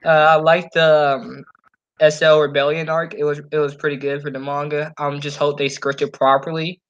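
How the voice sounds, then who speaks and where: A male co-host with a voice a little higher than average. He talks casually like a fan among friends, slangy and emphatic. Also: little pitch movement, moderate pace, smooth, muffled recording. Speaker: podcast co-host.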